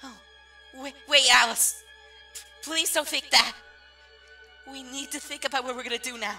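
A woman voicing an excitable, bratty little cartoon demon in a comic dub, with exasperated, dramatic delivery. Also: sits high, moderate pace, faint music behind. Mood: hurt, pleading.

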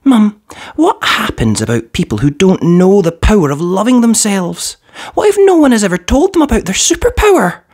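A narrator puts on a kind little superhero girl from a storybook. She is sincere and eager, with pitch that leaps up and down. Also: very animated, moderate pace, darkish tone. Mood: worried, questioning. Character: earnest, kind five-year-old superhero girl.

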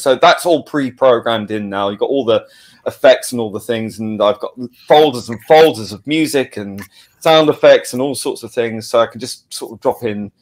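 Man chatting enthusiastically, halting as he goes. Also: on the low side. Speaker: audio drama producer and sound editor. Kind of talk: casual interview chat.

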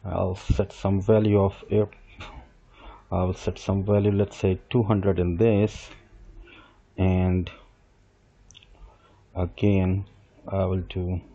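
A middle-aged man giving a screen-recorded software tutorial, explaining each step as he works and often restarting his sentences. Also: deep voice, level tone, slow, long pauses, husky. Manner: explains step by step while working, with small restarts and self-corrections. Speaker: software instructor. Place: desk with computer, voice-over on screen capture. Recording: dull lo-fi voice recording.

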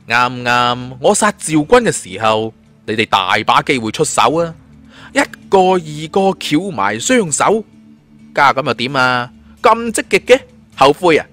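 A cool, sardonic young male fighter from a fantasy audiobook, acted by a man, speaks. He is scornful and swings his pitch freely, with music behind him. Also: big pitch swings, unhurried, a bit bright. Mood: mocking. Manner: taunting, scornful.

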